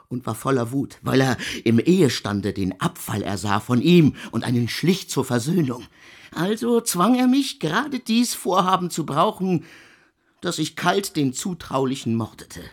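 Ein Mann spielt einen gequälten Komponisten, der in einem Hörspiel in altertümlichem Deutsch feierlich und stockend seine Sünden beichtet, mit heiserer Stimme. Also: male voice, on the high side. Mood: anguished. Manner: solemn, archaic, halting confession. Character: tormented composer confessing his sins in archaic German. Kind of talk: radio play monologue.

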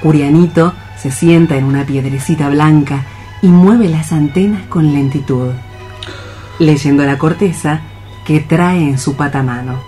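A man's voice: a little high, unhurried, dark tone. A man reading scripted scene narration for a radio play. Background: music.

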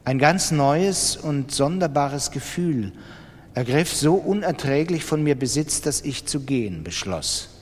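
A restless, superstitious gambler confides his scornful observations in a radio play. His voice sits fairly high and shifts emphasis with brooding intensity. Long hall reverb trails his words. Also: lively intonation, measured pace. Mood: uneasy, feverish. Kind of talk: literary radio drama monologue.

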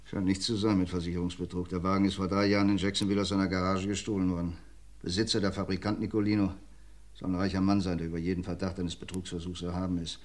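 A man playing a shrewd, dryly ironic police commissioner in an old radio crime drama, talking in a measured, lecturing way. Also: low voice, level tone, lightly acted. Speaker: police inspector.

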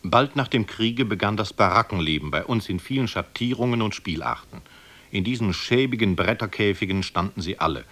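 A man reading scripted documentary narration in a measured, literary manner. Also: lowish voice, lively intonation, a bit bright.

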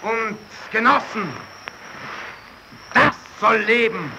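A man's voice: high-pitched, slow, full character voice. A man playing an earnest, defiant sailor comrade in a radio play, speaking emphatically. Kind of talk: German radio play acting. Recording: clear noise behind.

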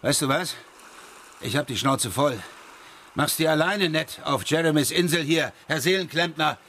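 A man's voice: fairly high pitch, moderate pace, sharp tone, a little hiss or hum. An actor playing a defensive, exasperated ex-policeman with a sarcastic edge in a radio crime drama. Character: defensive, exasperated ex-policeman suspected of hurting his girlfriend.

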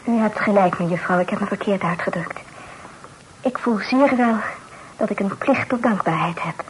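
A woman's voice: mid-range voice, normal speed, natural voice. An actress playing a demure, uneasy, well-bred young lady in a Dutch period radio play, speaking with formal courtesy. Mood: restrained. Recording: noisy old radio play recording.

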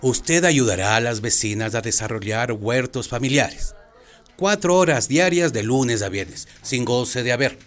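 An actor playing a stern but lenient judge in a radio drama, speaking in a measured, formal and wryly amused way. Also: male voice, mid-range voice, expressive, faint music behind, natural voice. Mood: lenient, instructing.

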